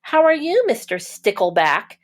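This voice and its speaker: A woman voicing a gentle, caring father seahorse in a children's storybook, politely encouraging those he meets. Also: a little high, very animated, moderate pace, pitched above the performer's own voice. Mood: friendly, encouraging. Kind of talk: children's storybook read-aloud.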